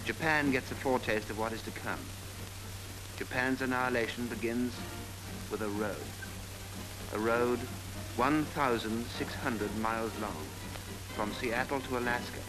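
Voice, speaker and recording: A man narrating a 1940s wartime newsreel in a solemn, rhetorical, deliberate manner, on an archival film soundtrack. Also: mid-range voice, poor audio.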